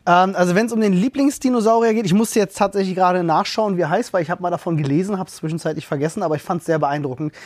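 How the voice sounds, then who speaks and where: A man's voice: high-pitched, fast talker, few pauses, a little mellow, dry recording. A man co-hosting a podcast in casual chat, joking in a wry, dry way. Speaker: podcast co-host.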